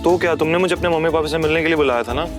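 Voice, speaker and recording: An actor plays an insistent young man in an audio drama. He pleads stubbornly and talks fast. Music is clearly audible behind him. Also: on the high side, close to an everyday voice. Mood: insistent, pleading. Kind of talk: Hindi audio drama acting.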